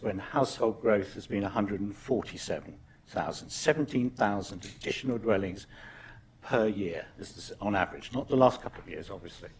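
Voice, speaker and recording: A man's voice: fairly low pitch, darkish tone, a little hiss or hum, rough recording. A man giving an emphatic, argumentative talk at a panel debate, repeating key phrases. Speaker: panel speaker. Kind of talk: conference presentation.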